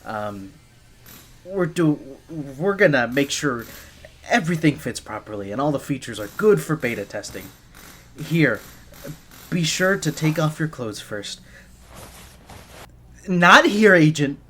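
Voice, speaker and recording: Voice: mid-range voice, lively intonation, a little slow, pauses often, a bit bright, slight background noise. A performer voicing a nervous, flustered young gadget engineer in an ASMR roleplay, stammering shyly and breaking off mid-phrase. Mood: bossy, fussy.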